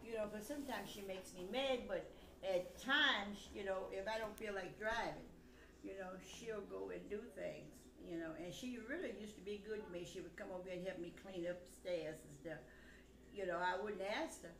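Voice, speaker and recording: A woman's voice: medium pitch, normal speed, fairly bright tone. Older woman storytelling in an echoey room. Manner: conversational, quotes exchanges as dialogue, blunt. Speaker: grandmother recounting family stories. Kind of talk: casual rambling storytelling. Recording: echoey room, background noise.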